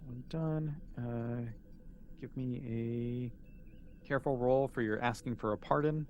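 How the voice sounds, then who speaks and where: A man's voice: mid-range voice, fairly even intonation, a little slow, darkish tone, lo-fi sound. Male game master, middle register, easygoing informal game running, some faint background noise. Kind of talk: casual game mastering, calling for rolls.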